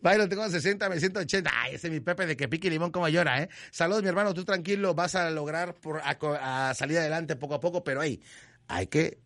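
A man's voice: fairly high pitch, quick pace. A man with a Mexican accent hosting a radio show, reading listener messages and teasing them with jokes. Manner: joking, teasing, lively banter. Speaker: radio host. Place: radio studio.

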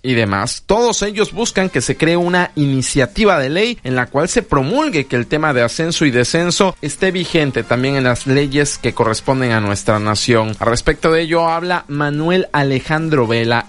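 Measured radio sports anchor man. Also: medium pitch, a bit bright. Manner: measured, deliberate news reading.

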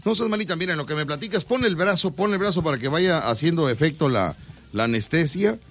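A man's voice: fairly high pitch, expressive, quick pace, a bit bright, faint music behind. A man with a Mexican accent hosting a live radio show, joking and teasing his guests. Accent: Mexican Spanish. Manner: teasing and jokey, often laughing mid-sentence. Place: radio studio.

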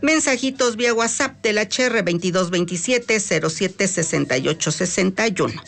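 A woman from Mexico with a Mexican accent hosts a talk radio program. She runs the show in a chatty way, drawing out words and filling gaps with "eh". Her voice sits at an ordinary, middle pitch for a woman. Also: expressive, gravelly, over music. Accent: Mexican Spanish. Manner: chatty, drawn-out words, frequent "eh" fillers. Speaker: radio host.

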